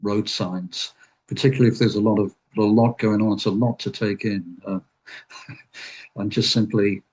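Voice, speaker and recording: Male student from Wales, somewhat low voice, breathy, casual chat. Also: steady tone, moderate pace, darkish tone, rough recording. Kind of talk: casual remark in class.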